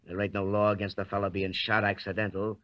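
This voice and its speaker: A man's voice: fairly low pitch, level tone, quick pace, close to an everyday voice. An actor playing a sly, thuggish henchman in a 1930s radio drama, with colloquial tough-guy talk. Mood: sly, cocky. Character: sly, thuggish henchman loyal to his boss. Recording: muffled old 1930s radio recording.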